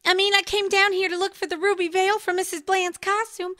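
A woman's voice: extremely high pitch, little pitch movement, brisk, sharp tone. A woman playing a distressed, earnest young stagehand in a comedy audio drama, plaintive in manner. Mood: shaken.

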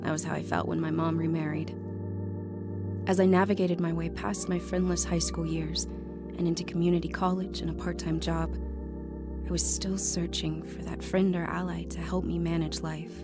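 A woman's voice: lowish voice, level tone, normal speed, music in the background, lightly acted. An actress playing a lonely, anxious young woman narrating her life story in an audio drama. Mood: reflective, sad. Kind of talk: first-person dramatic narration.

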